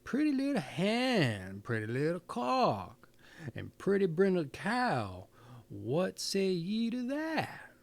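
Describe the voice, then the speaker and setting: Guy doing old bearded fairytale man, coaxing singsong, creaky, pitch goes all over. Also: huge pitch swings, takes it slowly, exaggerated voice.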